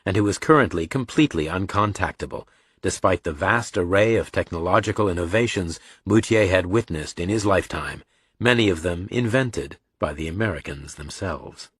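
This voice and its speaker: A man's voice: low voice, moderate pace, fairly bright tone, husky, no room sound. A man reading a scripted story as an audiobook narrator, close on the microphone.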